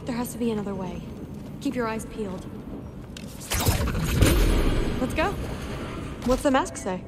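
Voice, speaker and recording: A woman plays a spirited teenage warrior girl, eager to prove herself. Her youthful voice sits fairly high and she speaks bluntly and confidently, as in a video game cutscene. Loud environmental noise runs behind her. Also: lively intonation, a little fast, natural voice. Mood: determined.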